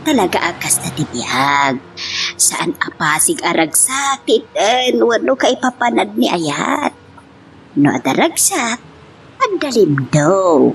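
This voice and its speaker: A woman's voice: mid-range voice, big pitch swings, slow, heavily acted. A woman with an Ilocano accent playing an emotional mother and wife in a family love drama. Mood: somber. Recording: clear background noise.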